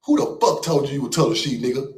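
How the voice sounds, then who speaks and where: A man's voice: medium pitch, very animated, fast talker. American guy going off on a live stream, mocking and cussing, echoey room. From United States. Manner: mocking, profane, confrontational. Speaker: livestream host. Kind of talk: profane livestream rant. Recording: roomy echo.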